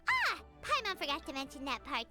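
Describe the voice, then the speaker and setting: Squeaky little game fairy, extremely high childlike voice, excitable swoops, bubbly, boastful, loud music behind. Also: female voice, brisk. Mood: proud, gleeful. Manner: bubbly, refers to herself in third person. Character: tiny cheerful girlish fairy companion, boastful and excitable. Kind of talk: video game voice acting.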